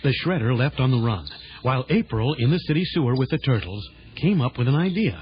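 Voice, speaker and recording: A man's voice: mid-range voice, animated, a little fast. A man narrating a children's audio drama in a scripted, dramatic storybook style, on a dull lo-fi tape. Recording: dull lo-fi tape, loud music behind.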